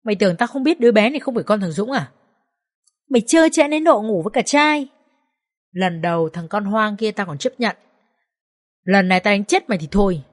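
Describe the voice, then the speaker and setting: A crude, bullying middle-aged mother-in-law with a shrill, raised voice, as a woman storyteller puts her on. She spits insults and threats at her daughter-in-law in a spoken audio story. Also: animated, a little fast, long pauses, close to an everyday voice. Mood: furious.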